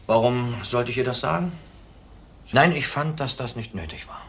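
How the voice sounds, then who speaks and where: An actor playing a cold-blooded ex-convict widower in a crime drama, defiant, blunt and self-assured. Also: male voice, mid-range voice, normal speed, fairly bright tone, close to an everyday voice. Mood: guarded. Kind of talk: German TV crime drama acting. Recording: faint background noise.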